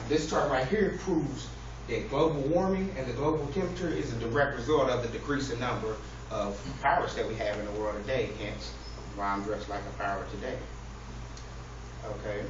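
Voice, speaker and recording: A man giving an informal, humorous speech to an audience in a mock-earnest, preacher-like way. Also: mid-range voice. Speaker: public speaker. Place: echoey indoor room with an audience. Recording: distant, very echoey, noisy, muffled room recording.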